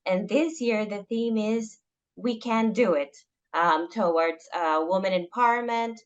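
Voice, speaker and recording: A woman from the Republic, interviewing a guest and pausing often on "um". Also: medium pitch, lo-fi sound. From Dominican Republic. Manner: hesitant, many "um" pauses. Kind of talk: interview questions.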